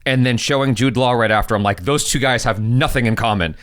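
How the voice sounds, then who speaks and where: A man co-hosting a movie reaction chat, animated and teasing, with many restarts. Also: medium pitch, fairly quick, clean tone, no room sound, studio quality. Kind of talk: casual chat.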